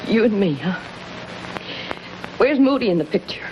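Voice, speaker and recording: A woman's voice: medium pitch, animated, moderate pace, noisy background, lightly acted. Woman acting a bitter noir gangster's moll, muffled old recording. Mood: scornful, taunting. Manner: melodramatic, taunting, hard-boiled. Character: bitter, manipulative gangster's moll, frightened and scornful. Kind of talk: mid-century film noir crime drama acting.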